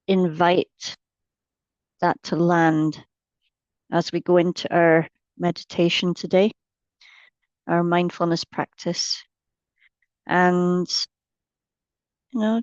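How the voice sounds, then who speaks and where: A woman with a slightly low voice teaches mindfulness in a guided meditation. She speaks very slowly and gently, breaking her sentences into short phrases with long pauses. The recording is dry and a little dull. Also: no room sound.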